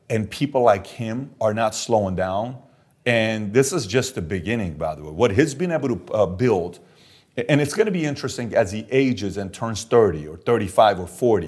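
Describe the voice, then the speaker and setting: Middle-aged guy from iran, low voice, pushy interview guest doing the math out loud, keeps saying okay?. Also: husky. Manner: works through numbers aloud, emphatic, repeats "okay?". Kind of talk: animated business interview talk.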